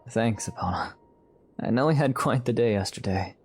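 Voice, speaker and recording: A man playing an earnest, thoughtful young knight in an audio drama, soft-spoken and considered. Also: mid-range voice, normal speed, slightly rough, soft background music, close to an everyday voice. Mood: affectionate.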